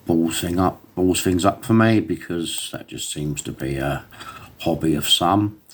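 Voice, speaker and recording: A middle-aged man vlogging to his phone, hoarse from a lost voice, rambling and defiant. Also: very deep voice. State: hoarse, recovering voice. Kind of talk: casual talk to camera.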